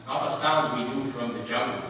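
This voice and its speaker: A man's voice: mid-range voice, brisk, distant mic, natural voice. Man playing a wary jungle hunter, echoey hall. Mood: wary wonder. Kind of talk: theatrical storytelling, readers theater. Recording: echoey hall from afar, faint noise.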